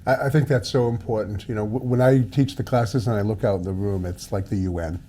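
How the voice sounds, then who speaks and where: A middle-aged man hosting an interview, friendly, often pausing and repeating words as he talks. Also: fairly low pitch, steady tone, mellow. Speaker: interview host, home buyer class teacher. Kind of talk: interview conversation.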